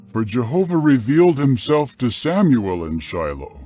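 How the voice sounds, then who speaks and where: A man narrating dramatized scripture in a solemn, measured, clearly phrased manner. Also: medium pitch, very animated, dark tone, soft background music.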